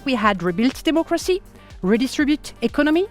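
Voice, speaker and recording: A woman with a French accent works a conference stage as host, playful and lively, laughing easily. Her voice sits fairly high. Soft music plays faintly underneath. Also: fairly quick. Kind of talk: live stage hosting.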